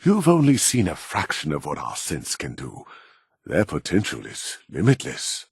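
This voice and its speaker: Deep, husky, arrogant male scientist. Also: huge pitch swings, dry recording, studio quality, heavily acted. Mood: proud, persuasive. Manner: measured, formal, self-important, condescending. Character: proud, arrogant middle-aged male robotics scientist. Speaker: video game character voice actor. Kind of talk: scripted video game dialogue.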